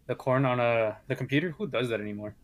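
A man's voice: mid-range voice, quick pace. A man co-hosting a casual podcast, teasing and blunt with his friends. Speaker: podcast co-host. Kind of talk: casual chat.

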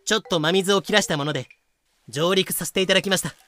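An actor playing a smooth-talking pirate captain who politely poses as a humble merchant in an audio drama. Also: male voice, high-pitched, fast, pushed and bright. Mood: glib, polite. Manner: polite, glib. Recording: music bed behind voice.

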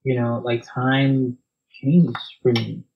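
Soft guy opening up in an interview, lots of ums, sorta muffled recording. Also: medium pitch, little pitch movement, slow pace. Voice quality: soft-spoken. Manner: reflective and hesitant, many fillers and restarts, soft laughs. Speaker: guest. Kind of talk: reflective interview conversation.

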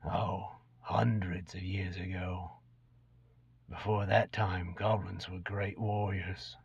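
A man's voice: low-pitched, flat delivery, slow pace, vocal fry, slightly rough, exaggerated voice. A man voicing an ancient, gaunt, cursed goblin, a brooding and unrepentant former killer, in a dramatic reading of a fantasy tale. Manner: brooding storyteller recounting dark deeds. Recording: dull lo-fi recording in echoey room.

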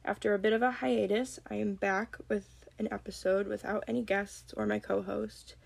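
A young woman with a slight cold hosting a solo podcast, talking calmly and gently. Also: medium pitch, moderate pace, creaky, slightly rough, no echo. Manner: calm, gentle, reflective. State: has a cold. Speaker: podcast host. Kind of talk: solo podcast talk on mental health.

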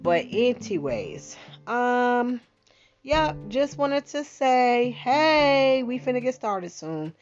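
Woman, podcast host, slow easy delivery, slangy chat, dull lo-fi sound, guitar music behind. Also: a little high, big pitch swings. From Bahamas-born, raised in Philadelphia. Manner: chatty, slangy, lots of fillers and asides. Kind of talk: casual monologue.

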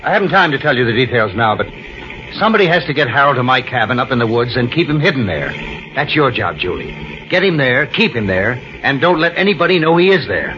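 A man playing an anxious, scheming smooth talker, urgent and insistent, in an old-time radio drama. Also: medium pitch, very animated, fast talker, a little hiss or hum, close to an everyday voice. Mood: urgent, persuasive. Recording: muffled old radio recording.

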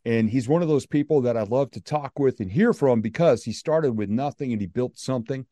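A man's voice: medium pitch, a little fast, warm tone, no room sound. An American man hosting a podcast, introducing his guest and asking questions in an upbeat, chatty way. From United States. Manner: enthusiastic, chatty. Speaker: podcast host.

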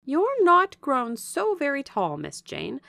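A woman voicing a chatty, warm-hearted young married servant woman in a storybook, with a lively, familiar, gossiping manner. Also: high voice, slow pace, gravelly, no echo, studio quality, pitched above the performer's own voice, full character voice. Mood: gossipy. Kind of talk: storybook character dialogue.

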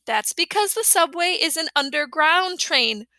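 A woman's voice: very high voice, expressive, a little slow, pushed and bright, no echo, voice pushed up, full character voice. A woman doing an exasperated older boy. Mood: impatient. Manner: impatient, dry. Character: exasperated older boy, put-upon big brother.

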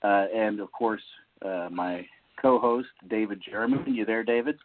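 Phone-like, lower-voiced man hosting a podcast. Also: measured pace, slight background noise. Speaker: podcast host. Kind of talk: casual podcast introductions. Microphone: online voice call. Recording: muffled, phone-like voice-call audio.

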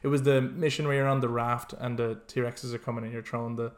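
A man's voice: medium pitch, flat delivery, fast talker. A man co-hosting a podcast, chatting casually and enthusiastically about video games with plenty of swearing. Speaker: podcast co-host.

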